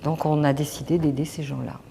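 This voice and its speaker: A middle-aged woman, a teacher, calmly explaining her association in an interview, with frequent hesitations. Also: sits low, gravelly. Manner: measured, explanatory, many hesitations. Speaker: teacher running a French course association. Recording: echoey hall, faint background noise.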